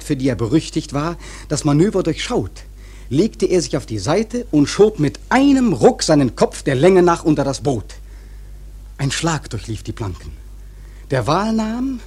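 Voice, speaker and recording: Husky male narrator of a literary drama. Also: on the high side. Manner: measured, expressive storytelling.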